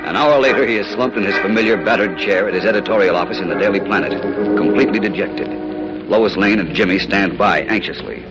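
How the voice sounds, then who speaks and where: A man's voice: on the low side, fast talker, rough recording. A man narrating as a dramatic, declamatory announcer in 1940s radio style. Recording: old radio recording with loud music.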